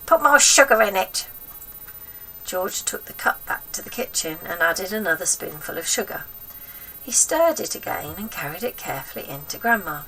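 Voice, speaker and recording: A woman reading a children's story aloud as an expressive narrator. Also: fairly low pitch, moderate pace, slightly rough, far from the mic, low-quality recording. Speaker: storybook reader.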